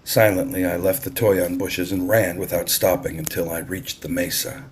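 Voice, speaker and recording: A man narrating an audiobook in a deliberate, expressive storytelling style. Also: deep voice, a little hiss or hum.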